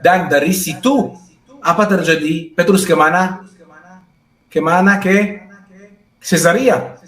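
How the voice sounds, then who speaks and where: A man's voice: high voice, slow pace, fairly bright tone. A man adding to a religious discussion, agreeable and hesitant, with many filler sounds and a few English words. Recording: echoey room.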